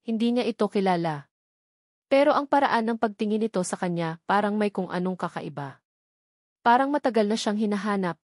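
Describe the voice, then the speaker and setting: A woman's voice: mid-range voice, expressive, brisk, a little mellow, no room sound. Woman narrating a dramatic story, dry sound. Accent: Filipino. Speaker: audiobook narrator.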